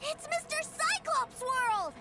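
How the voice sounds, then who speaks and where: Game role: innocent, excitable small boy, extremely high voice, unhurried pace, wide-eyed. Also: noisy background, exaggerated voice. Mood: thrilled, eager. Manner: wide-eyed, eager, childlike. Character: excitable innocent little boy, trusting younger brother. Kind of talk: video game voice acting. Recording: loud music behind the voice.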